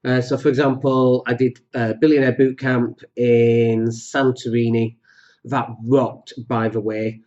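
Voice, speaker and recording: A man hosting a solo podcast, talking with a cold that makes his voice deeper, rambling and jokey with many fillers. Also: level tone, unhurried, darkish tone, muffled recording. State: has a cold, voice deeper than usual. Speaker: podcast host.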